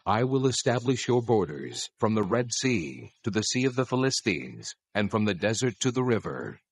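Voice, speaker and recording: A commanding divine lawgiver, low voice, solemn n measured, close dry audio bible drama. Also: male voice, very animated, slightly rough, no room sound. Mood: stern, promising. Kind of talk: dramatized scripture reading.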